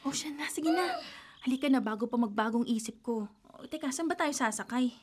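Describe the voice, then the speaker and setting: An actress playing a timid, worried young daughter who hesitates before sneaking out, in a radio drama. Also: female voice, high-pitched, lively intonation, a little fast, a little hiss or hum. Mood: giving in.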